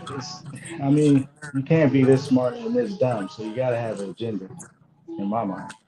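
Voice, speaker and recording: A man's voice: mid-range voice, flat delivery. Mocking man over noisy background. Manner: mocking, quick interjections. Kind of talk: casual livestream chat.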